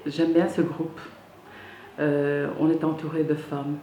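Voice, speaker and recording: A woman's voice: low-pitched, flat delivery, slow pace, warm tone, a little hiss or hum. A woman, speaking in a documentary interview. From Belgium. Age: 50s. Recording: echoey room, clear background music.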